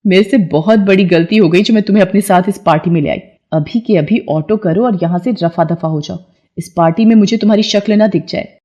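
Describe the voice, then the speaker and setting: Woman as a spiteful rich college girl, scornful, bossy, mellow dark tone, animated story. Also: mid-range voice, brisk, dull audio, lightly acted. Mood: angry. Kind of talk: dramatised Hindi moral story.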